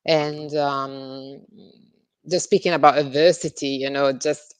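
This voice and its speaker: A woman's voice: low voice, fairly even intonation, takes it slowly, smooth, no room sound. A woman, answering interview questions as a psychologist, hesitant with many fillers. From Italy. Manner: hesitant, many ums and uhs. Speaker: guest psychologist.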